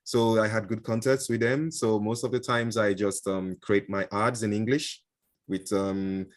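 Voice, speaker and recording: A man with a fairly low voice talks loosely as an interview guest, stopping often for "um" and "uh" and restarting his sentences. His is Igbo, and he is heard through a video call. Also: little pitch movement, unhurried. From Nigeria. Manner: hesitant, many fillers and restarts, chuckles often. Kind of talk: casual interview answers.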